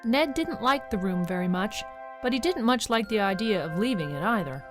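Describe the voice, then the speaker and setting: A woman's voice: medium pitch, lively intonation, normal speed, over music. A woman narrating a storybook tale for an audio drama, conversationally addressing the listener.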